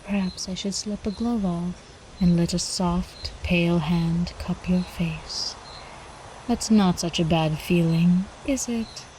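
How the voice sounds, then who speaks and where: A woman voicing an ancient, seductive vampire countess in an intimate ASMR roleplay, teasing and cooing with quiet menace, often slipping into whispers. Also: fairly low pitch, fairly even intonation, slow pace, a little hiss or hum, low-quality recording. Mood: seductive, soothing.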